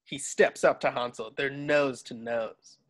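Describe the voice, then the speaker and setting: A man's voice: mid-range voice, fairly even intonation, a little slow, fairly bright tone. A man narrating stage directions from a script in an amateur table read over a video call.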